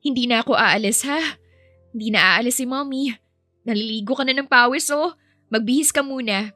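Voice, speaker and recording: A woman's voice: on the high side, moderate pace, pushed and bright, dry recording, soft background music. A woman voicing a proud, polite young housemaid nanny in an audio romance, earnest and motherly. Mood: tender, soothing.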